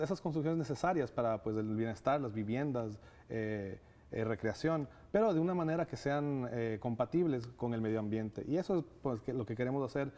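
A man's voice: mid-range voice, dark tone. A man in his thirties from Ecuador, a guest in a TV studio interview, speaking earnestly with many filler sounds. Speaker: guest, nonprofit director. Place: TV studio set. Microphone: lapel mic.

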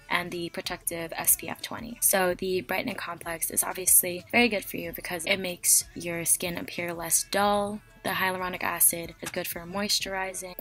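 Chatty young woman, slightly hoarse voice. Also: lowish voice, a bit bright. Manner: chatty, fillers like "like". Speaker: beauty vlogger. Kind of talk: casual product review. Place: small dim bedroom, indoors. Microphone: webcam at close range.

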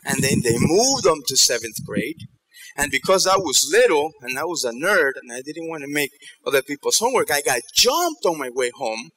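A middle-aged man giving a motivational keynote on stage, telling stories in an animated way and checking in with his listeners. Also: fairly high pitch, moderate pace, husky, low-quality recording. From New York and Ecuador. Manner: animated storytelling, checking in with the audience. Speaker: keynote speaker. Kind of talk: motivational conference talk. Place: theater stage in a large auditorium.

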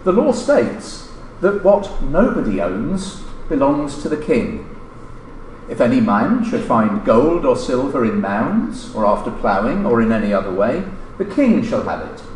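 Man reading a lecture in an echoey room. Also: mid-range voice. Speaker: academic speaker reading a colleague's paper. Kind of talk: scripted conference lecture, read aloud. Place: indoor lecture room, standing at a lectern. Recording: roomy lecture-room echo, noticeable background noise.

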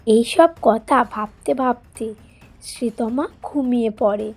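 A woman tells a cartoon story in scripted narration. Her voice is high and her delivery slow and measured, with music playing behind her. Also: mellow. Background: background music.

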